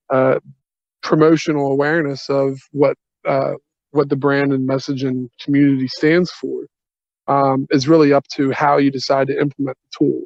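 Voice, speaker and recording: A man explaining a business pitch on a conference call, halting and long-winded, in earnest phrasing. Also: a little mellow. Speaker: software company representative pitching a partnership. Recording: dull, echoey conference call audio.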